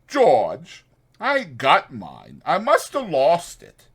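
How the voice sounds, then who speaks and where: A man's voice: a little high, wildly swooping pitch, very slow, a bit bright, husky, pitched above the performer's own voice, heavily acted. A man voicing a simple-minded, eager, forgetful working man, hesitant, repetitive and naive, in a dramatized audiobook. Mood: sheepish.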